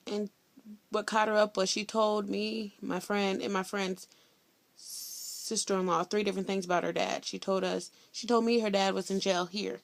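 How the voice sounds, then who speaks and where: Sassy teenage girl with vocal fry. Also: medium pitch, steady tone, normal speed, dry recording. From Indiana, USA. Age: teens. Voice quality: loud voice. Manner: blunt, sassy, chatty with slang. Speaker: vlogger. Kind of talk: casual storytelling vlog.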